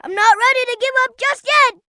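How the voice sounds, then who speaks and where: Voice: a little fast, bright, forceful tone, no echo, studio quality, full character voice. A performer voicing an earnest, eager little boy fox sidekick in a video game, with a childlike voice. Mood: alert, determined. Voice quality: high, childlike.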